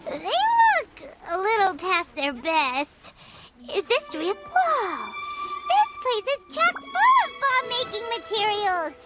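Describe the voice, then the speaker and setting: A woman voicing a tiny, childlike female fairy companion in a video game, squeaky, eager and exclamatory. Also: very animated, slow, heavily acted. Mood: excited. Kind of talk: scripted video game character acting. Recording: loud background music.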